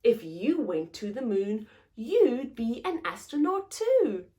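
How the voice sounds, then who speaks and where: A woman's voice: fairly high pitch, sing-song, takes it slowly, warm tone. A woman hosting a story time, reading aloud expressively to young children in simple phrases and putting questions to them.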